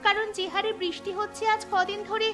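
A woman's voice: extremely high pitch, level tone, fairly quick, sharp tone. A woman voicing a sensible young village girl. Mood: worried. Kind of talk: cartoon voice acting. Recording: music under the voice.

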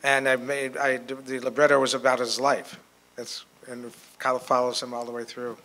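An older man telling anecdotes to an audience on a panel, in a halting way. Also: medium pitch, level tone, bright, forceful tone, low-quality recording. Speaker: panelist and author. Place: hotel conference room with an audience. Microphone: handheld microphone.

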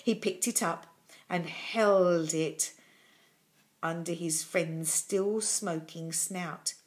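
An older woman reading a children's story expressively and dramatically, with deliberate pauses, in a small room at home. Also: lowish voice, steady tone.

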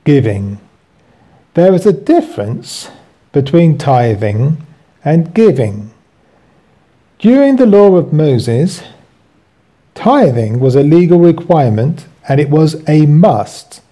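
A man reading a scripted religious lesson aloud as a teacher, in measured phrases. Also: fairly high pitch, very animated, mellow. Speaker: Bible teacher.

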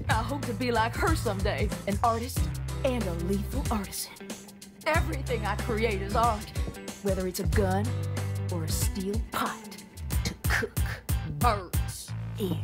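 A woman's voice: a little high, lightly acted. A woman playing a brash, sassy young gunsmith and inventor in a video game cutscene, boastful and swaggering. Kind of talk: English-language video game cutscene acting. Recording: loud music behind the voice.

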